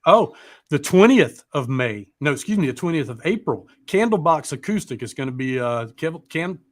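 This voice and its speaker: A man's voice: on the high side, very animated, normal speed, dry recording, studio quality. A man hosting a live show in casual, rambling chat, mildly profane and often losing his train of thought.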